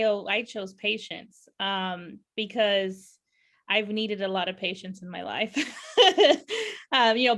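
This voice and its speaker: A woman from California hosts a casual podcast conversation. She talks in loose, rambling phrases full of fillers and breaks into laughter often. Her voice sits at a middle pitch. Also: a bit bright. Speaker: podcast host. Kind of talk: casual interview chat.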